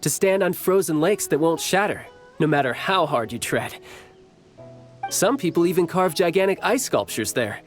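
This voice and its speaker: Man voicing a cocky young warrior, lively pitch. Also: moderate pace, soft background music, close to an everyday voice. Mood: fond, eager. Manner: teasing, confident, playful. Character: cocky, friendly young male warrior from a snowy land. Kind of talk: video game character voice lines.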